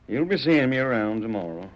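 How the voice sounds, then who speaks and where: An actor playing a corrupt, bossy Border Patrol man in a Western radio drama, talking in curt, domineering frontier speech. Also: mid-range voice. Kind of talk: 1930s-40s Western radio acting. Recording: muffled old radio recording.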